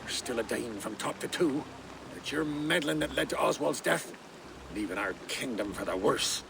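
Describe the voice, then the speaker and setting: Gravelly, bitter Saxon village reeve. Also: male voice, mid-range voice, expressive, normal speed, noisy background. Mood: bitter, distrustful. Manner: gruff, accusatory, formal archaic speech.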